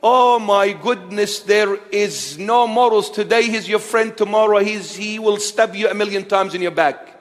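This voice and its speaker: A man preaching a fiery sermon, repeating his phrases, dropping to low murmurs and then rising to shouting. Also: measured pace, few pauses, long reverb tail. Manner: impassioned, repetitive, swings between low murmurs and shouting. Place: in Australia.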